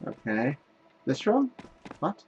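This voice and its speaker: A man's voice: on the high side, huge pitch swings, slow pace, muffled recording, a little hiss or hum. A man hosting a casual let's play, thinking aloud in a rambling, self-deprecating way. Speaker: gaming video host. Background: game music and sounds.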